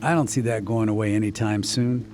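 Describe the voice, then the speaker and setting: An actor playing an easygoing, watchful small-town doctor in a radio drama, with a West Virginia accent. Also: male voice, lowish voice, steady tone, brisk, a little mellow, a little hiss or hum, close to an everyday voice. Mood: friendly, easygoing. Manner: folksy, conversational.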